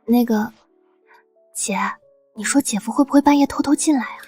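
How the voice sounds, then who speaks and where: An actress playing an affectionate young woman in a family drama, speaking gently. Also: fairly high pitch, normal speed, breathy edge, faint music behind. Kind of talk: scripted drama acting.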